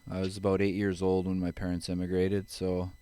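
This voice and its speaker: A man's voice: deep voice, completely flat delivery, brisk, mellow, smooth, dry recording. A middle-aged man from the Netherlands, a guest in an interview, telling stories in an easygoing way and chuckling along. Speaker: guest, genetics advisor. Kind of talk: relaxed interview storytelling.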